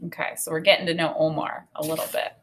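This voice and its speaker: A woman in her thirties teaching a read-aloud lesson, enthusiastic and expressive, thinking out loud in a conversational way. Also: low voice, quick pace. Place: small home room, indoors.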